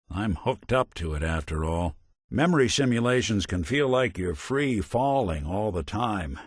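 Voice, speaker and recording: A man's voice: fairly low pitch, fairly bright tone, no echo, studio quality. A man voicing a weary, wry old-school detective robot with an American accent and hard-boiled noir phrasing, in a video game.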